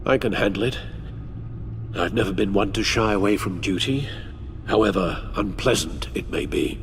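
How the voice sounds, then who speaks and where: A man's voice: fairly low pitch, moderate pace, slight background noise, lightly acted. A man playing an elderly, dutiful British butler in a video game, speaking formally and carefully with fatherly gravity. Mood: dutiful resolve. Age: older. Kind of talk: dramatic video game acting.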